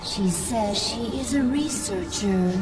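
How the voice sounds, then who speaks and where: Dutiful alien creature for a sci-fi game, female actor: formal, subservient, unhurried, set back from the mic. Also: medium pitch, natural voice. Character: obedient female alien swarm servant, calm and dutiful. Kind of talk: dramatic video game acting. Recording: distant voice under loud music.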